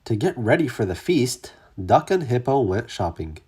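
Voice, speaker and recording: Expressive children's read-aloud, very lively pitch, slightly rough husky voice, dramatic emphasis. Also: moderate pace. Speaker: storybook reader.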